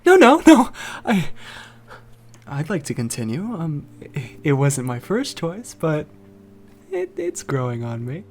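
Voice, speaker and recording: Man as a hesitant, animated property buyer. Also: on the high side, a little hiss or hum, exaggerated voice. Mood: eager, hesitant. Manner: halting, casual, hesitant. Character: hesitant, affable male property buyer seeking seclusion. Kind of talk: naturalistic audio drama dialogue.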